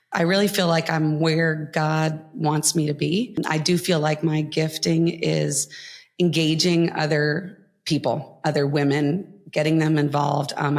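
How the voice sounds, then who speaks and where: A woman in her thirties talks as a podcast guest in a low, earnest voice. She sounds heartfelt and unscripted, pausing with ums as she gathers her thoughts. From Florida. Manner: earnest, heartfelt, with frequent fillers. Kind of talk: casual interview talk.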